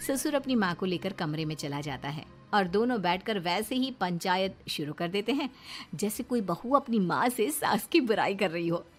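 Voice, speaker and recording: A woman's voice: medium pitch, expressive, moderate pace. A woman reading scripted narration for a family moral story. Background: music.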